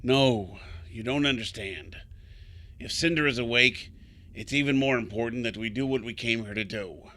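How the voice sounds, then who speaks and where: An actor playing a troubled, weary older man in an audio drama, speaking gravely and haltingly, with insistence. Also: mid-range voice, pushed and bright, lightly acted. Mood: urgent, grave. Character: troubled, weary older man, secretive guide fearing an ancient evil.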